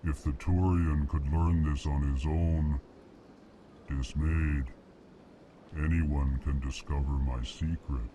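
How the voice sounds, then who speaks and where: Give me a ponderous alien diplomat in a game cutscene. The voice sits at the very bottom of the register and creeps along in an unbroken monotone. He flatly announces each emotion before he speaks. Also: slight background noise. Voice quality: very deep, slow monotone drone. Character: massive slow alien male diplomat, emotionless. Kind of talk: video game cutscene dialogue.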